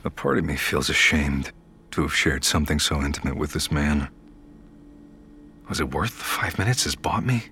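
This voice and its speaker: A man's voice: extremely low pitch, normal speed, long pauses, sharp tone, a little hiss or hum, full character voice. An actor playing a frightened but defiant captive, narrating his ordeal as a clipped, intense inner monologue. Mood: ashamed, subdued. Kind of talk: dramatic first-person narration.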